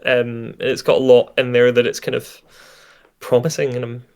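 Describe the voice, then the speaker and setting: A man's voice: medium pitch, flat delivery, moderate pace, clear, resonant tone. A man co-hosting a casual discussion, giving his impressions in a thoughtful, meandering way and often rephrasing mid-sentence. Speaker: co-host of an audio drama discussion stream. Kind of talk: casual chat, reviewing an audio drama.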